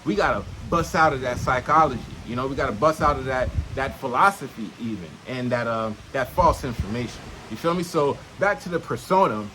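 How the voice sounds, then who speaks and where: A man's voice: mid-range voice, brisk, hardly any pauses, background noise. A man talking casually and unscripted to camera, teaching in streetwise slang with frequent swearing and checking in with the listener. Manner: punctuates points with checking questions to the listener. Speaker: self-help vlogger. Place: outdoors in nature.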